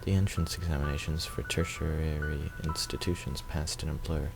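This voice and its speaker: A man's voice: very deep voice, little pitch movement, moderate pace, vocal fry, music in the background. A man reading first-person visual novel narration aloud.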